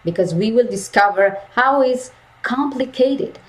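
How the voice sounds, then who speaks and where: Slow, emphatic woman voice coach. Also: on the high side, expressive, rough recording. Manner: slow, emphatic, repeats key phrases. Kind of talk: lecture to university students.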